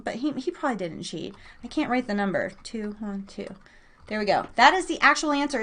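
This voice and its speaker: High-voiced woman hosting a live trivia game. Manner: excitable, playful, chatty, with drawn-out emphasis. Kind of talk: casual live-stream game hosting, chatting with listeners.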